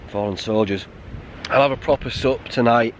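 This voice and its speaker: An English man in his thirties talking to camera outdoors on a windy mountain, subdued and halting with many restarts. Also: mid-range voice, pushed and bright. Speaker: hiking vlogger. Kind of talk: casual talk to camera. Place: outdoors on a windy winter mountain, sheltering behind a stone wall. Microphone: camera held close at arm's length. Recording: wind noise on the mic outdoors.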